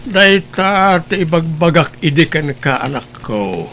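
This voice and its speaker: A man's voice: on the high side, sing-song, unhurried, a bit bright, heavily acted. A man voicing an elderly grandfather who lectures his grown son, with faint hum behind. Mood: stern. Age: older. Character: elderly grandfather, gentle and scolding.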